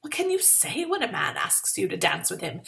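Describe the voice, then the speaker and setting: A woman's voice: fairly low pitch, wildly swooping pitch, normal speed, creaky, fairly bright tone, pitched below the performer's own voice, full character voice. A woman reading a short story in the first person as a sardonic, exasperated young woman of the 1920s, with sarcastic, melodramatic delivery. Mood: bitter, self-pitying.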